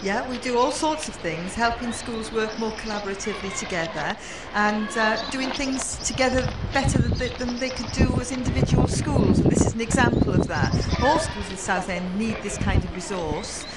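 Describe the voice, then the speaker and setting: A woman's voice: fairly high pitch, normal speed. A woman chief executive of an education trust explaining things plainly in an outdoor interview. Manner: plain, matter-of-fact explanation. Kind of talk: interview answers. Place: school playground outdoors. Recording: distant, echoey outdoor noisy sound.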